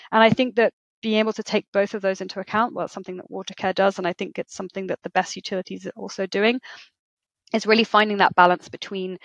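A woman's voice: mid-range voice, vocal fry, no room sound, lo-fi sound. A woman speaking as an expert guest in a conversational interview, explaining things and often checking with a tag question. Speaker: interview guest, industry editor.

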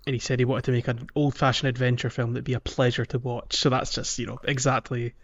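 A slightly muffled, lo-fi recording of a man with a medium-pitched voice. He co-hosts a podcast, chatting informally. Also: little pitch movement, fast talker. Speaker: podcast co-host. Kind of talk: casual chat. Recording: dull, lo-fi voice audio.

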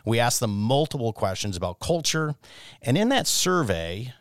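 A man's voice: medium pitch, sing-song, normal speed, dry recording, studio quality. A middle-aged man hosting a church podcast, introducing topics and putting questions to his guests. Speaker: podcast host and lay church elder.

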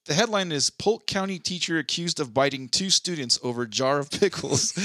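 A man's voice: on the high side, lively intonation, normal speed, bright, forceful tone, dry recording, clean studio sound. A man from Southern California with a slight East LA accent, co-hosting a casual podcast in a loose, rambling, profane way. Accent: East Los Angeles. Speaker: podcast co-host. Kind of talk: casual chat.

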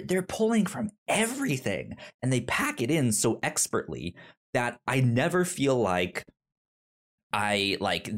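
A man hosting a podcast, talking about films with enthusiasm, stammering and often restarting his sentences. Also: medium pitch. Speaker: podcast host. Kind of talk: casual film discussion.

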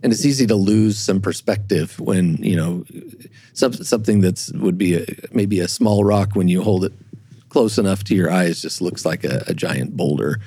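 A man speaking as an expert guest in a podcast discussion, explaining things in a halting way with repeats and rephrasing. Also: low-pitched, dark tone, breathy edge. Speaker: guest church historian.

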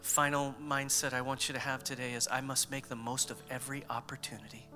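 A man in his fifties preaching a sermon as a pastor, conversational and animated, mixing scripture with humor. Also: medium pitch, brisk, long reverb tail, faint music behind.

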